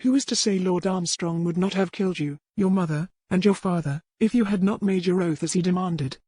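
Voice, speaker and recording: A woman's voice: on the low side, a little fast, mellow, breathy edge, no room sound, studio quality. A woman voicing a loyal, world-weary old herbalist servant in a historical romance audiobook. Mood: reassuring. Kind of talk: dramatic audiobook character reading.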